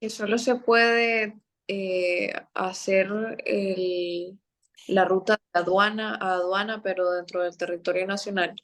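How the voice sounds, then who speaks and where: A woman's voice: mid-range voice, steady tone, takes it slowly, a bit bright. A woman speaking as a student, offering a comment in class.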